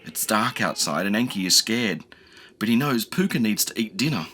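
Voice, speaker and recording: A man narrating a children's audiobook in an expressive storytelling manner. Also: on the low side, moderate pace. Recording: clear noise with cricket ambience.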